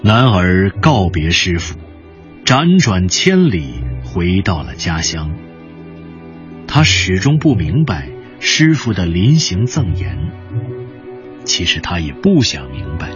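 Slow, solemn male drama narrator. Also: deep voice, big pitch swings. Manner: solemn, storytelling, measured. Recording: muffled lo-fi, music bed behind.

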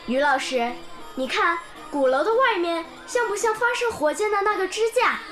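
An actress voicing a bright, curious young village girl who tells stories eagerly, in a radio drama. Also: extremely high pitch, moderate pace. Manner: lively, eager storytelling. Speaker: pupil. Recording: background music under the scene.

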